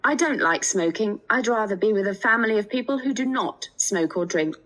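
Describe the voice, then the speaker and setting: Actress doing a young japanese student lady, friendly n careful, bright voice, really up and down melody. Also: medium pitch, lively intonation, fairly quick, natural voice. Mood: open, chatty. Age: 20s. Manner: careful, spells things out clearly. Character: friendly 28-year-old Japanese woman student seeking a homestay. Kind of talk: scripted listening test dialogue.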